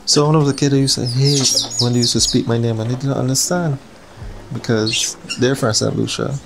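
A man's voice: medium pitch, steady tone, brisk, creaky, noisy background. A man, casually talking to camera in a travel vlog with an easygoing, go-with-the-flow manner. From Barbados. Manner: laid-back, easygoing. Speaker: vlogger. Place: hotel room. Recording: background music under voice.

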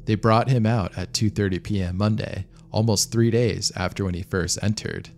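A man reading scripted documentary narration of a true disaster story in a measured, matter-of-fact way. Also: fairly low pitch, fairly even intonation, creaky, darkish tone. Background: background music.

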